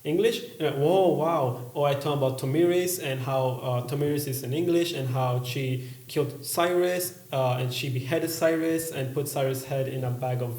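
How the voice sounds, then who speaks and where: A man, an English teacher talking earnestly on a podcast and pausing often with uhs. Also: mid-range voice, a little mellow, roomy sound. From USA. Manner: earnest, halting, many uhs. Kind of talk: casual podcast chat.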